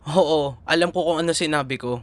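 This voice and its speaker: A man's voice: a little high, a little fast, a bit bright, dry recording, natural voice. A man playing a young Filipino boyfriend, tender and proud, in an intimate audio roleplay, with a Filipino accent. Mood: hurt, frustrated. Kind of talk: ASMR boyfriend roleplay drama.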